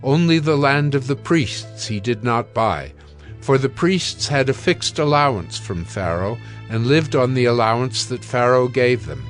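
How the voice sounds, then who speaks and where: Male narrator, mid-pitched, scripture storytelling for audio drama, faint music underneath. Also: lively intonation, a little slow.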